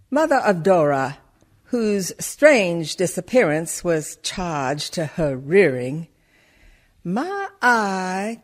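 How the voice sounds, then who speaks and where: An actress plays a shrewd milliner with a scandalous reputation in a radio play. Her voice sits a little low and moves very slowly, with big swings of pitch as she makes her pointed case. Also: full character voice. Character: shrewd, outspoken small-town milliner, much gossiped about. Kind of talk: theatrical monologue for community radio play.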